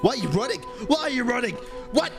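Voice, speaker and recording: A young man reacting live as he plays a video game on stream, excitable, slangy and sweary, with game music behind him. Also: very high voice, quick pace. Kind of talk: live gameplay reaction commentary.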